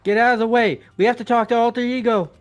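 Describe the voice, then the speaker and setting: A man's voice: extremely high pitch, steady tone, fast, soft background music. A man voicing a blunt, shameless, goofy young man in an amateur playthrough of a visual novel game. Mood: bemused, pushy.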